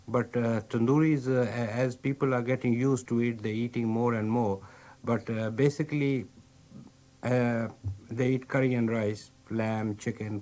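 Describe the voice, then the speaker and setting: A man's voice: mid-range voice, darkish tone. A man with an Indian accent, a restaurant owner explaining things patiently, with frequent fillers. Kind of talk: explaining, casual interview.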